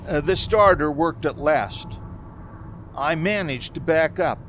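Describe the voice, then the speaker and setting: A man's voice: on the high side, slow pace, fairly bright tone. A man playing an anxious, haunted young driver in a radio drama, stammering, hesitant and pleading. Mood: haunted, brooding. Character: anxious, haunted young man driving cross-country alone. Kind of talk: 1940s-style radio drama acting and narration. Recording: faint background noise, community theater recording.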